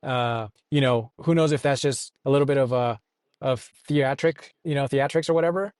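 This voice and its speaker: A man's voice: mid-range voice, normal speed, darkish tone, clean tone, dry recording, clean studio sound. A man co-hosting a podcast, giving casual conversational market commentary with hedging, self-deprecating asides. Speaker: podcast co-host discussing stock investing.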